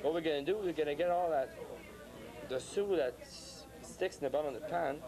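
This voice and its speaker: A man's voice: on the high side, a little fast, a crowd in the background, poor audio. A man in his thirties, a guest chef casually explaining steps in a cooking demonstration. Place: restaurant bar room, indoors.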